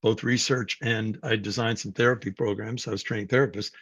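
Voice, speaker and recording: An older man from New York City, speaking as a guest and telling his life story in a reflective, unhurried way with frequent fillers. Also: fairly low pitch, fairly even intonation, fairly bright tone, no echo. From New York City, USA. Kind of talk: personal life story, conversational talk. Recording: dull, dry sound.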